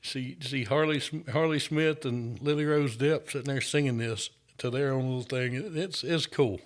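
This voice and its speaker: A man's voice: medium pitch, moderate pace. A man from the United States hosting a casual chat show, easygoing and rambling, saying "y'all". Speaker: host.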